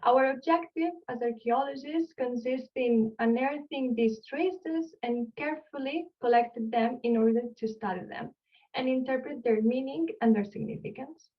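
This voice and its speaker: A woman's voice: fairly high pitch, distant mic, lo-fi sound. A woman from Barcelona with a Spanish accent, an archaeologist explaining her field in an online lecture and asking the audience questions. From Spain, Barcelona. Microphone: video call.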